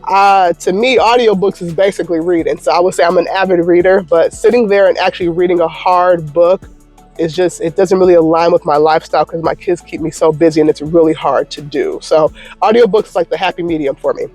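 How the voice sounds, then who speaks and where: A woman's voice: fairly low pitch, steady tone, fairly quick, darkish tone, soft background music. A woman in her thirties from Michigan, chatting through a casual question-and-answer vlog from her parked car in an upbeat, encouraging way. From Michigan, United States. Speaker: YouTuber. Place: parked car in a parking lot.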